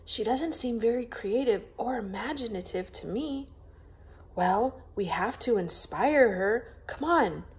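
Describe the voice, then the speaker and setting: A woman's voice: a little high, lively intonation, a little slow, a little mellow, pitched above the performer's own voice. A woman voicing an eager, friendly young girl in a storybook, curious and sympathetic. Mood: conspiratorial.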